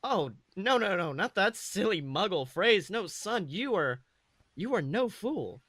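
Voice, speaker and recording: A man voicing a stern, secretive witch mother who lectures a clever son in an emphatic, measured way. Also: high-pitched, sing-song, fairly bright tone, breathy edge, no room sound, exaggerated voice. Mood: earnest warning.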